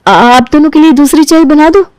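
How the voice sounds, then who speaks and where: A meek young daughter-in-law as a woman narrator puts her on, overworked and dutiful. Her high voice is earnest and deferential, recorded dry for a domestic story. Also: fairly quick, darkish tone, no room sound, lightly acted. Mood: anxious, meek.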